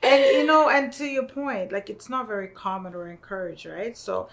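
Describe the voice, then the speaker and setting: A woman's voice: mid-range voice, normal speed, creaky. A woman in her thirties from Kenya, with a Kenyan accent mixed with English, hosting a casual podcast chat and telling stories full of "like" and "you know". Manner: animated storytelling, frequent "like" and "you know". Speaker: podcast host.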